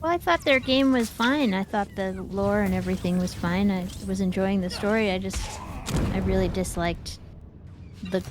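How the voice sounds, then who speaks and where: A woman's voice: mid-range voice, normal speed. Casual woman gamer over background noise. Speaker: gaming show co-host. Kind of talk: casual chat while gaming.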